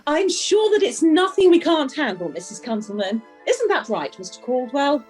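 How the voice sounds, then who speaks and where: Woman playing a very high-voiced, polished manager. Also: big pitch swings, moderate pace, darkish tone, soft background music. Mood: reassuring. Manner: eagerly courteous, formal. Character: gushing, polished, professional female resort manager. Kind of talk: audio drama dialogue.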